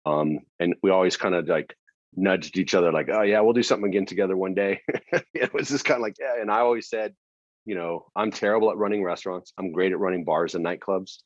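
A middle-aged man, a nightlife entrepreneur, telling his career story in a casual interview, with many fillers and throat clearing. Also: deep voice, fairly quick, no echo. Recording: dry, dull lo-fi audio.

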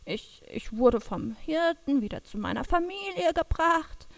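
A woman's voice: high-pitched, animated, a little slow, vocal fry, no room sound, heavily acted. A woman voicing a timid, anxious young female sheep who stammers and bleats between her words in a children's audio drama. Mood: sad, anxious.

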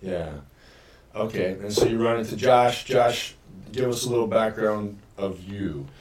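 Casual low-voiced podcast host in an echoey room. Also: male voice, a little slow, distant mic. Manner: relaxed, slangy, affirming. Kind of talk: casual interview. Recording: echoey room, voice a bit off-mic.

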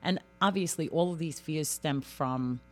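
A woman's voice: deep voice, a little slow, faint music behind. A woman hosting a casual podcast chat, talking heartfelt and personal, with many "like" and "you know" fillers. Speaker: podcast host.

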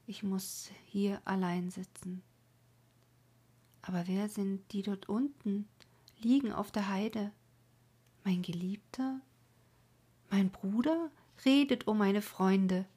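A woman voicing a grieving young woman who laments her dead lover in a dramatic poetry reading, with swelling calls and breathy whispers. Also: mid-range voice, pitched above the performer's own voice. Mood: longing.

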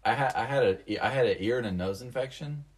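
Husky young man chatting on a podcast. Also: medium pitch, fast talker. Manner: jokey, interjecting, slangy. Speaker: podcast co-host. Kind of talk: casual chat.

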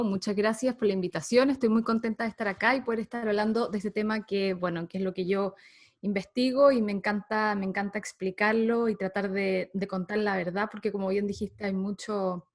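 A woman's voice: medium pitch, steady tone, brisk. Chatty Chilean woman researcher. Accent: Chilean Spanish. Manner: chatty, laughs often, many fillers. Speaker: guest researcher. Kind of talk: casual interview talk.

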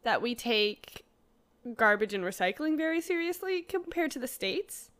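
A woman's voice: on the high side, big pitch swings, moderate pace, a bit bright, clear, resonant tone. A young Canadian woman with a Canadian accent, chatting casually and giggling, with lots of "like". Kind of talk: casual chat.

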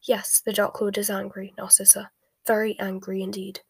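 Casting: woman narrator playing grave, curt man, a spy, measured and emotionally closed, slightly husky, storybook. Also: no room sound. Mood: flat, stern. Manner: flat, low, measured. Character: cold, controlled male spy, grave and curt.

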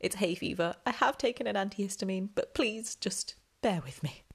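A woman's voice: mid-range voice, moderate pace, vocal fry. A young woman hosting a casual solo podcast, chatty and rambling with lots of ums, sounding slightly nasal and snuffly. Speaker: podcast host.

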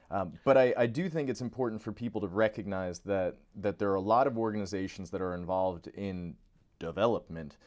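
Fairly low-voiced American male podcast host. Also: darkish tone. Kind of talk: conversational interview.

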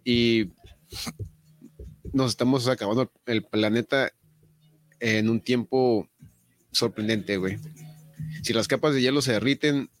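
A man's voice: mid-range voice, fairly even intonation, measured pace, long pauses, faint music behind. A man with a Mexican accent talking casually as a co-host on a podcast. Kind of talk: casual chat.

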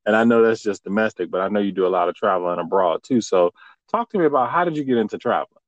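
A man hosting a casual interview, relaxed and chatty, with lots of "right, right" and "you know". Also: lowish voice, quick pace, no room sound, highs cut off. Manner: laid-back, lots of fillers and "right, right". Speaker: podcast host.

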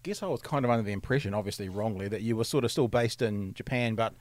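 A man's voice: on the low side, fast talker, dry recording. A man hosting an interview, asking loose conversational questions with frequent restarts. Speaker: interview host. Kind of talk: casual interview.

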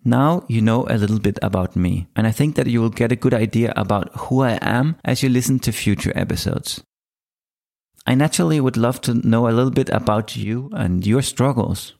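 A man in his forties with a Danish accent, hosting his own podcast and telling his life story in a calm, measured monologue. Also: mid-range voice, gravelly. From Denmark. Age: 40s. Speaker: podcast host. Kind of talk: scripted solo podcast monologue.

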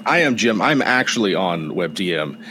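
A man's voice: low-pitched, sing-song, normal speed, sharp tone. A man from Texas chatting on a panel with dry, joking asides. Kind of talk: casual panel chat.

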